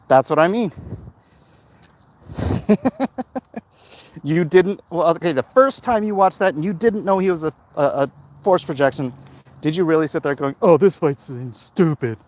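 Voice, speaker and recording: A man chatting casually and giving opinions in a halting way, as if hosting a livestream. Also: on the high side, a little mellow. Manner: halting, frequent throat clearing. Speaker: livestream host answering viewer comments.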